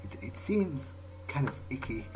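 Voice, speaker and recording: A man's voice: medium pitch, very animated, unhurried, heavy background noise. A man voicing a curious, wary vampire in a video game playthrough, casually thinking aloud to himself. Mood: curious, musing. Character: curious, wary male vampire exploring a creepy mansion. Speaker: let's player playing in character. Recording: music behind the voice. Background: game soundtrack music.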